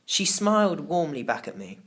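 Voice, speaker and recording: A man narrating a children's novel in the first person, expressive and theatrical. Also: fairly high pitch, moderate pace. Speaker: audiobook narrator.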